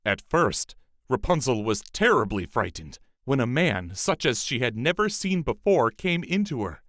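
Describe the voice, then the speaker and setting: A man reading a fairy tale as a storyteller, in a measured, expressive scripted narration. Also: mid-range voice, dry recording, clean studio sound.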